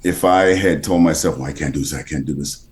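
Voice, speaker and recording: A man, an actor and voice actor, talking casually in an interview with a deep, textured voice and halting, restarting sentences. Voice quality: deep, textured timbre with underlying bass. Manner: halting, many restarts and fillers, drops quieter mid-thought. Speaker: actor and voice actor guest.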